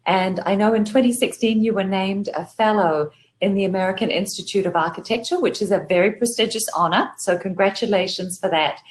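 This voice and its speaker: A woman's voice: mid-range voice, brisk. A woman moderating a panel, giving a formal and complimentary introduction of a guest.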